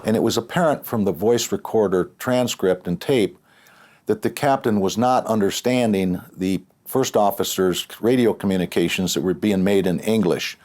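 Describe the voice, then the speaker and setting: A man giving a measured interview account as an investigator. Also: on the low side, darkish tone. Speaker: accident investigator.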